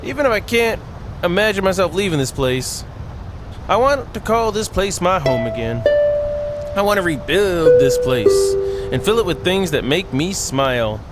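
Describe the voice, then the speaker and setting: A man's voice: high voice, big pitch swings, normal speed, over loud music, rough recording. A man voicing a lonely, nostalgic dweller of a rundown house in a visual novel, read in a hesitant, reflective way. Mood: wistful, hesitant.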